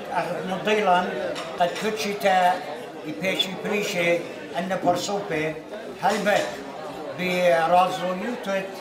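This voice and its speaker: Fast-talking man emceeing a banquet. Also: high-pitched. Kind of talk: ceremony announcement. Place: large banquet hall. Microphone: podium microphone. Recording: echoey banquet hall with crowd.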